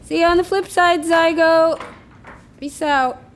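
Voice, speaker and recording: A woman's voice: very high-pitched, fairly even intonation, measured pace, background noise. A woman acting in a naturalistic audio drama as a breezy, unapologetic, sardonic woman who teases flippantly. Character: breezy, unapologetic woman with an experimental safety chip.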